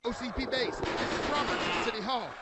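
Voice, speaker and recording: An actor playing a panicked security guard in a video game, making a frantic report under attack. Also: male voice, extremely high pitch, expressive, a little fast. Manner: frantic, shouted radio report. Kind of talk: dramatic video game acting. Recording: very noisy battle, gunfire.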